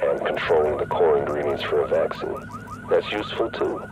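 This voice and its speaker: A man's voice: very deep voice, huge pitch swings, moderate pace, dull audio, exaggerated voice. A man playing a cynical, power-hungry rogue agent in a video game, persuasive and coolly self-assured, with noise behind him. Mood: calculating, confident. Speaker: rogue agent and faction leader. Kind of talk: cinematic video game acting.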